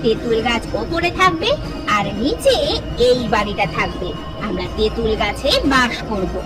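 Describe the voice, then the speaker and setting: A woman's voice: on the high side, sing-song, normal speed, recorded from a distance, loud music behind the voice. A woman voicing a bold, cunning village wife with a confident, taunting manner in a cartoon. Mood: threatening.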